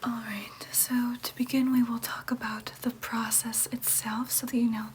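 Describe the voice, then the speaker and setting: A gentle vampire nurse played by a woman whispers softly and breathily in an ASMR roleplay. The voice is on the high side, careful and reassuring as it explains things to a patient. Clear background noise sits behind the whisper. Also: level tone, close to an everyday voice. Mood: reassuring, welcoming. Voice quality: soft, breathy unvoiced whisper. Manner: soothing, explanatory, slow and careful.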